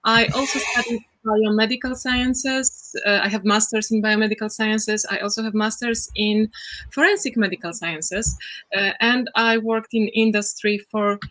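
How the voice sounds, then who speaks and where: Young woman, interview guest on a video call, bright tone, explanatory with many "uh"s. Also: on the high side, steady tone, moderate pace, rough recording. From Poland. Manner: explanatory, with frequent "uh" fillers. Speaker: guest researcher. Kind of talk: casual interview talk.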